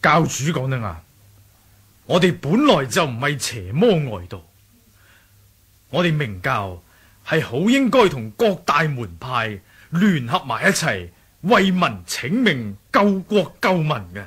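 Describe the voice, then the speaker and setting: Casting: zealous martial sect elder, highish raspy voice, declamatory, loyal, Hong Kong Cantonese, old radio drama. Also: male voice, sing-song, measured pace, fairly bright tone, heavily acted. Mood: fervent. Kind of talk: 1980s radio drama acting.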